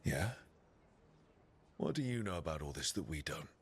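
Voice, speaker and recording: A man's voice: extremely low pitch, expressive, moderate pace, breathy edge, exaggerated voice. A man playing a resolute, plain-spoken heroic leader in a video game. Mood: wary, firm. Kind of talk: video game dramatic acting. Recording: game music under the voice.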